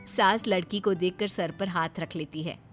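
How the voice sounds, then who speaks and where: A woman's voice: fairly low pitch, steady tone, normal speed, faint music behind. A woman narrating a scripted domestic story as a storyteller.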